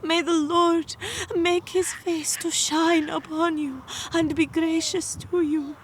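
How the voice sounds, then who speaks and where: A woman's voice: very high-pitched, flat delivery, slow pace, slight background noise. A woman playing a devout young mother in an audio drama, speaking with tender, reverent emotion. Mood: reverent blessing.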